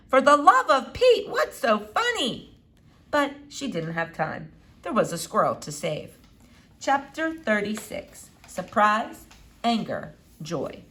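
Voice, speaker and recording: Lively woman reading a storybook aloud. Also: medium pitch. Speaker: reader of a children's novel. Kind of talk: expressive storybook read-aloud.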